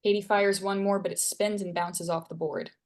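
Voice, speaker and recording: A woman's voice: mid-range voice, steady tone, moderate pace, a little mellow. A woman reading stage directions aloud as scripted narration at a screenplay table read.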